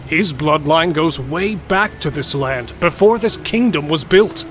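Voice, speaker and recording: A man playing an irreverent smith and lore keeper explaining dark history in a fantasy audio drama. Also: on the high side, lively intonation, unhurried, a little hiss or hum. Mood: grave, explaining.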